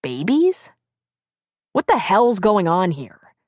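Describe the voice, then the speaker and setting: A woman narrator reads a confused husband in an audiobook novel. The delivery is blunt, baffled and halting, lightly characterized, with a close, dry sound. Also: fairly low pitch, huge pitch swings, a little mellow, no room sound, heavily acted. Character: bewildered husband, adult man, blunt and slow to catch on.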